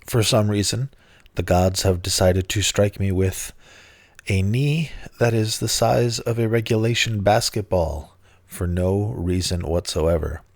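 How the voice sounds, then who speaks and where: A middle-aged man with a lowish voice gone hoarse from bronchitis, talking into a close mic in a dry, dead room. He rambles casually, grumbles and swears with self-mocking humor, like a tired host on a solo podcast. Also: steady tone, a little slow, no echo.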